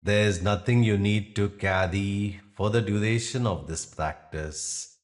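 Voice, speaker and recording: Slow, low-voiced male meditation guide. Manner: soothing, unhurried, instructional with pauses. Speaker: yoga nidra meditation guide.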